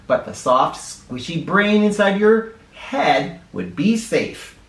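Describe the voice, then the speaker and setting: A man reading a children's picture book aloud in an expressive, instructive way, speaking directly to young listeners. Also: on the high side, takes it slowly. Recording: roomy echo, distant from the microphone.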